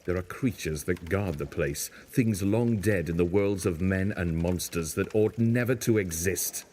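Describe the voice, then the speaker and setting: A man's voice: deep voice, measured pace, darkish tone, a little hiss or hum. A man playing a reluctant, sceptical survivor stranded on an alien planet in an audio drama, speaking in an insistent, dismissive way. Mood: grave, sceptical.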